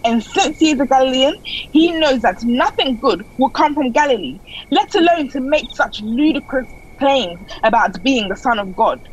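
A woman's voice: sits high, lively intonation, moderate pace. A woman playing a scornful accuser denouncing a prisoner in an audio play, recorded with dull sound and faint noise. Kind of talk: dramatic audio play acting.

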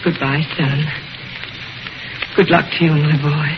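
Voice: mid-range voice, level tone, a little slow, lightly acted. An actor playing a fond, tearful mother in a 1940s radio drama. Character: tearful, fond mother seeing off her son. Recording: noisy, muffled vintage radio recording.

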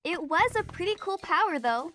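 A woman's voice: extremely high pitch, lively intonation, normal speed, a bit bright. An actress playing a smart, sardonic young girl with a teasing know-it-all manner in a cartoon video game. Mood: pleased. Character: smart, sardonic ten-year-old girl. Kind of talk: animated video game cutscene acting.

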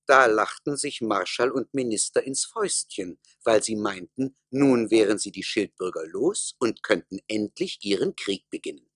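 A man's voice: fairly low pitch, lively intonation, moderate pace, few pauses, a bit bright, husky, no echo, clean studio sound. A man narrating a satirical folk tale as the storyteller in a scripted radio play.

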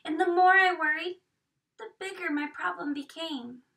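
A woman in her thirties reading a picture book aloud expressively, with dramatic hushed emphasis, recorded from a camera across a small room. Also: very high voice, normal speed, gravelly. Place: small indoor room with bookshelves. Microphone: camera a few metres away. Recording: distant microphone in a room.

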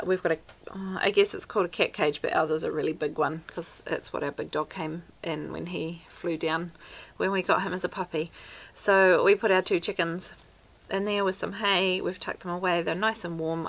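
A woman's voice: on the low side, fairly even intonation, moderate pace, rough recording. A woman in her thirties with a New Zealand accent, chatting practically to camera outdoors by a wooden fence about homestead care. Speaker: homesteader vlogger. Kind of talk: casual how-to talk to camera. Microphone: camera at arm's length.

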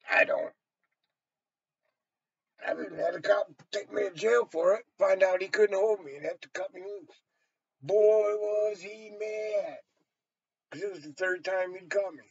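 A man's voice: high-pitched, steady tone, measured pace, vocal fry, pauses often, breathy edge, no echo. An American man in his sixties giving a blunt, emphatic, profanity-heavy rambling monologue to a camera in a small room. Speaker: storyteller and vlogger. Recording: dull, lo-fi webcam sound.

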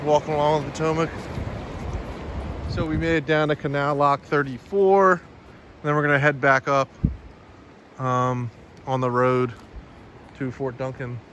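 A man narrating a hiking vlog in a casual, matter-of-fact, halting way as he walks. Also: medium pitch, a bit bright. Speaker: hiking vlogger. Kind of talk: casual walk-along narration. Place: outdoors in woods by a river. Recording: outdoor phone video with ambient noise.

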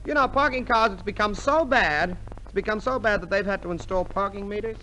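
A man's voice: sits high, brisk, lightly acted. An actor playing an exasperated, wisecracking man in a 1950s radio comedy, emphatic and repeating himself. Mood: earnest, insistent. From United States. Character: exasperated, wisecracking American man explaining things. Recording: hissy 1950s radio recording.

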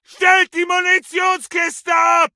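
A shouting, aggressive German soldier. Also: male voice, extremely high pitch, monotone, takes it slowly, background noise. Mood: urgent, demanding. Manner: urgent, barking, clipped exclamations. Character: aggressive, battle-hardened German WWII infantry soldier. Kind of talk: shouted video game combat barks.

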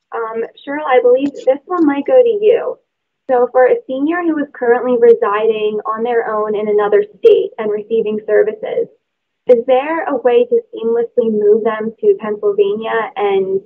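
A woman's voice: a little high, steady tone, moderate pace. A young woman casually relaying audience questions as a staffer in an online meeting. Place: office by a window. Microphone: video call computer microphone. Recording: thin phone-like video call audio.

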